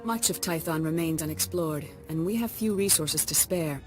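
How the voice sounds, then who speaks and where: Wise jedi council leader lady, voiced by a woman, low measured voice, game music behind. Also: close to an everyday voice. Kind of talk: video game voice acting.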